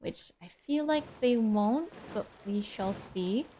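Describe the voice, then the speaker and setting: Woman gamer on stream, slow easygoing chat, lots of oh my gods and laughs. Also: fairly high pitch, lively intonation, dark tone, a little hiss or hum, poor audio. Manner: chatty, exclamatory, laughs often. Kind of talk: casual gameplay commentary.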